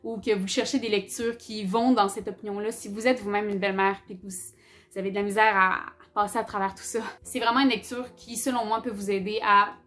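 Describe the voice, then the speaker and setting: A woman's voice: mid-range voice, little pitch movement, a little fast, a bit bright. A young woman with a Quebec French accent, from Abitibi, talking candidly and casually about books in her vlog. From Abitibi-Témiscamingue, Quebec. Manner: chatty and candid, with fillers, English words and swearing. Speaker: book YouTuber. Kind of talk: casual book-review vlog.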